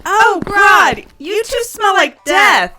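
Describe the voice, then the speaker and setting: A woman's voice: very high-pitched, animated, slow, heavy background noise, exaggerated voice. A woman playing a brassy, motherly diner hostess in an audio drama, with a sassy, folksy way of talking. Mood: exasperated.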